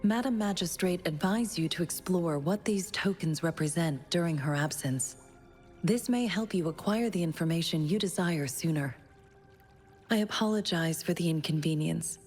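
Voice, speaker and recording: A woman playing a calm, polite, formal young bodyguard in a video game, speaking in a measured way. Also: lowish voice, big-room sound, faint music behind, close to an everyday voice. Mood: formal, courteous. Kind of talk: scripted video game dialogue.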